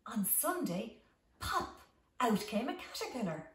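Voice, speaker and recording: A middle-aged woman reading a children's story aloud as a teacher, with simple, deliberate phrasing and expressive emphasis. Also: fairly high pitch. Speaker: home teacher reading a picture book to young children. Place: small living room at home, indoors. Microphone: camera a few metres away. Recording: roomy echo, distant microphone.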